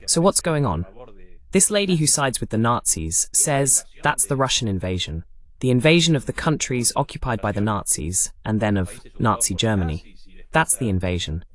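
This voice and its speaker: A man's voice: on the low side, big pitch swings, normal speed, a bit bright. Male political host, very varied intonation, argumentative and emphatic in live commentary. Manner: argumentative, rhetorical questions, emphatic repetition.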